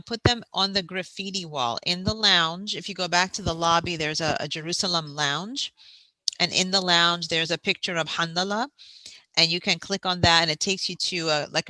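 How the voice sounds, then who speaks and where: A woman's voice: on the low side, pushed and bright, dry recording, rough recording. A woman hosting a festival session, giving friendly announcements and reading out a guest's prepared introduction. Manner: friendly, informative, reads a prepared bio. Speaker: festival host.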